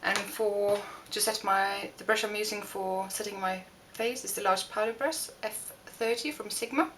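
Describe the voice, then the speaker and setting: A young woman from Norway with a Norwegian accent, talking through a makeup tutorial with many pauses and restarts. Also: mid-range voice, fairly even intonation, fairly bright tone, slight background noise, rough recording. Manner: hesitant, many fillers and restarts. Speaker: beauty vlogger. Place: home room.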